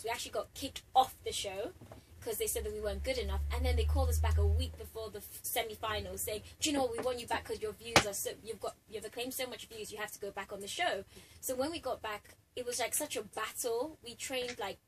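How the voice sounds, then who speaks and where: A woman's voice: fairly high pitch, noisy background. A woman in her twenties from Nigeria, a singer guest chatting in a radio studio interview. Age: 20s. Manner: bubbly, chatty storyteller.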